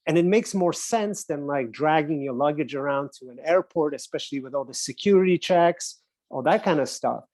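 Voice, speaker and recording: A man talking casually as a guest, in an easygoing way with lots of fillers. His voice sits a little high. The sound is dry and close. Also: normal speed, a little mellow, no echo. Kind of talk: casual podcast chat.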